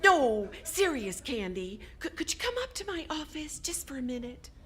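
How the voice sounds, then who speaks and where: A woman playing a harried, excitable department store manager, flustered and chatty, in a live radio drama. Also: sits high, normal speed. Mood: frazzled, pleading.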